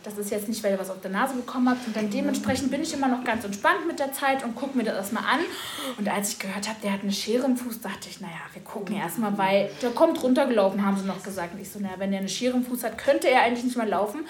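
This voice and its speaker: A woman in her thirties with a slightly hoarse voice, chatting casually and telling lively stories to schoolchildren. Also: mid-range voice, fast, few pauses, reverberant. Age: 30s. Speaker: school first-aid staff answering pupils' questions.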